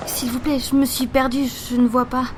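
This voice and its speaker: A woman's voice: high-pitched, flat delivery, moderate pace, lightly acted. A woman playing a hesitant, plaintive blind teenage girl in an audio drama. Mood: tearful, lost. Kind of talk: amateur French audio drama. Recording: noisy home recording.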